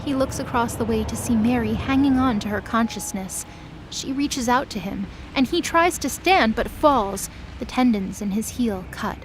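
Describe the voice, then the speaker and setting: A woman's voice: a little high, moderate pace, a little hiss or hum, poor audio. A woman delivering dramatic scripted narration as the narrator of an audio drama.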